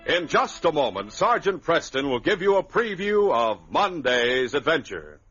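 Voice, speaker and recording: A man announcing an old-time radio serial and its sponsor pitches in a dramatic, punchy, hearty way. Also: sing-song, measured pace, fairly bright tone, breathy edge, faint music behind. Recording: dull lo-fi vintage radio recording.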